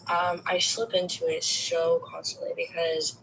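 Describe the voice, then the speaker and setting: Gravelly woman confiding on a call. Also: lowish voice, level tone, a little slow, fairly bright tone, slight background noise, poor audio. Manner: candid, confiding, swears casually. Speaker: caller getting a tarot reading. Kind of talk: casual chat.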